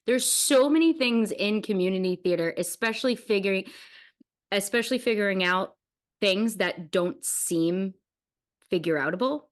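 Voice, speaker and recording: A woman's voice: mid-range voice, moderate pace. A woman hosting a casual interview, speaking in an enthusiastic, earnest way. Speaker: podcast host and interviewer.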